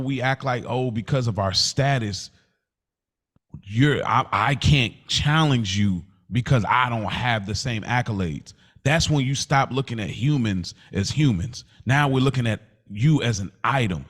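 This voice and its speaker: A man with a mid-range voice argues his side as a podcast co-host. He is earnest and slangy, doubling back on phrases in a loose, conversational debate. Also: mid-range voice. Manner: earnest, argumentative, repeats himself, slangy. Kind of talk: casual debate.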